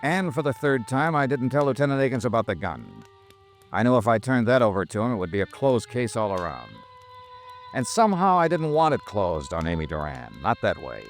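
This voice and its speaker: An actor playing a weary hardboiled American investigator with a soft spot, in 1950s radio detective drama style. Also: male voice, mid-range voice, sing-song, brisk, soft background music. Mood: grim narration. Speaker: lead actor and first-person narrator.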